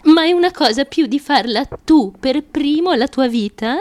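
Fragile young striver, very high voice, childlike and insistent, theatrical radio monologue. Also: female voice, expressive, a little mellow. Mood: feverish, earnest. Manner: childlike, insistent, halting, repetitive, with nervous giggles. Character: small, driven, fragile young woman confessing, fiercely chasing fame.

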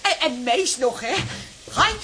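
Gleeful, teasing woman, very high, unhurried pace, exclamatory radio-play style. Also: expressive, pushed and bright, slight background noise, exaggerated voice.

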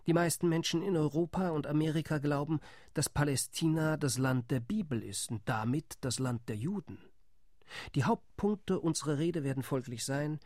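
An actor playing a determined delegation member in a radio play, laying out plans in a firm tone. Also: male voice, fairly high pitch, a little mellow, dry recording, studio quality, natural voice.

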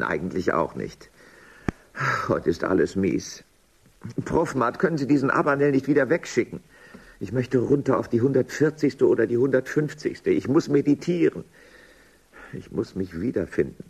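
An actor playing a capricious, cynical, world-weary official in a science fiction radio drama, moody, rambling and muttering, with a gravelly, husky voice. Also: male voice, low voice, darkish tone, exaggerated voice. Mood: weary, whining. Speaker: high-ranking boss.

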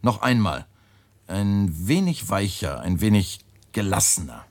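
An actor in a German radio play, playing a polite, pedantic radio editor with dry, quiet malice and formal, exact speech. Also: male voice, lowish voice, big pitch swings, unhurried. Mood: needling.

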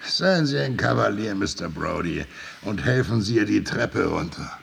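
An actor playing a dry, shrewd, casually corrupt official in a radio drama, with a gravelly, creaky voice and matter-of-fact, wry manner. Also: male voice, on the low side, animated, normal speed, fairly bright tone, slight background noise, full character voice. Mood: wry, challenging. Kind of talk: radio drama dialogue in German.

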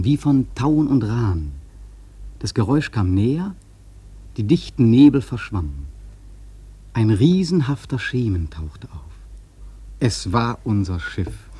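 An actor playing a sailor who recounts a perilous whale hunt, narrating emphatically and theatrically in a husky voice for a radio play. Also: male voice, on the low side, warm tone. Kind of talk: dramatic first-person radio play narration. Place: dry studio.

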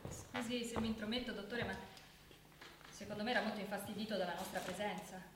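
An actress playing a polite, inquisitive television news journalist in a radio play. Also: female voice, medium pitch, steady tone, quick pace, lightly acted. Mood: polite, concerned. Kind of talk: naturalistic Italian radio play acting. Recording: echoey room with faint noise.

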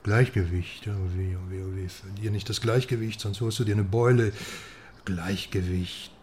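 An actor playing a cocky Western journalist in a radio drama, teasing and sarcastic, swinging between loud and hushed. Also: male voice, lowish voice, fairly even intonation, moderate pace, slight background noise, natural voice. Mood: boozy musing.

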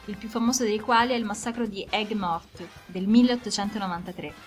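A woman hosting a radio program, reading scripted historical narration in a measured, formal way. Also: medium pitch, fairly even intonation. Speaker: radio host. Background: music under the voice.

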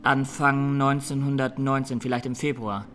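An actor playing a young Armenian defendant, plain-spoken and haunted, giving measured testimony in a radio courtroom play. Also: male voice, mid-range voice, flat delivery, fairly bright tone, soft background music, natural voice. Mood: subdued, factual.

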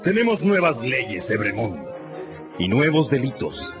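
Radio drama revolutionary: domineering, curt, wildly swinging pitch, dull recording under loud music. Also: male voice, big pitch swings, a little slow. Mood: scornful, authoritative. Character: commanding, harsh French revolutionary man barking orders.

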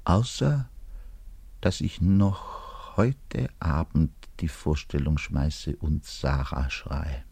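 An actor playing a neurotic, frustrated middle-aged double bassist who bares his self-loathing in a radio-play monologue. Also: big pitch swings, drawn-out words, gravelly, breathy edge, no echo, full character voice. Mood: brooding, defiant. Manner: volatile, swinging between muttered confession and loud outbursts. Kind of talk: dramatic monologue radio play, confiding to the listener.

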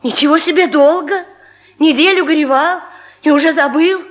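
An actress playing a fretful, vain wife in a radio comedy sketch, pestering, pouty and sorry for herself. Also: female voice, sits high, moderate pace, lightly acted. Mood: pouty, self-pitying. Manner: pestering, pouty, self-pitying. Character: fretful, vain wife needling husband with morbid questions.

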